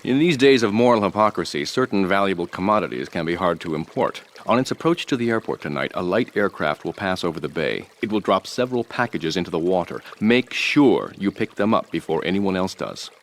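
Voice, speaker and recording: A man voicing a suave, scheming rich tycoon who speaks in a calm, polished, dryly ironic way. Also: sits low, fairly quick, hardly any pauses. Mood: smooth.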